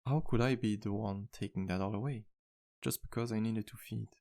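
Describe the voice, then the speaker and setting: A man playing a low, creaky-voiced charming vampire bartender. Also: normal speed. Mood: somber, remorseful. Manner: gentle, courteous, slightly formal phrasing. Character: charming, polite, modest male vampire bartender with guilty past. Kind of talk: ASMR roleplay, intimate one-sided dialogue.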